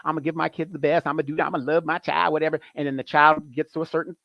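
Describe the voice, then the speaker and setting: Animated man on a dull, dry line. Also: on the high side, racing, gravelly, no room sound. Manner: animated, repetitive, emphatic. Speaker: guest. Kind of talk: casual opinion talk.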